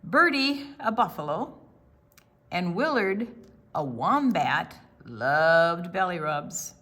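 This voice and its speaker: A woman hosting a children's storytime, reading a picture book aloud with theatrical, deliberate phrasing that draws in young listeners. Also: fairly low pitch, a bit bright.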